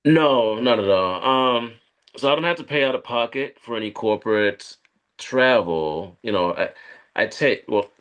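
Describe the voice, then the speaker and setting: A man acting a guarded corporate operative on a date in a conversational script read, speaking in a halting, evasive way. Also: fairly low pitch, lively intonation, fairly bright tone. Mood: evasive, explanatory. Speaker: actor at a live script read. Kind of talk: conversational screenplay table read.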